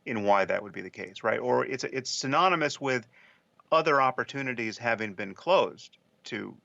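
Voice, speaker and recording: Male podcast voice, measured and careful, bright with fry, lo-fi clip. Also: medium pitch, expressive, dry recording. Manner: measured, careful, frequent restarts. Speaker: podcast discussion participant. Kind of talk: thoughtful conversation.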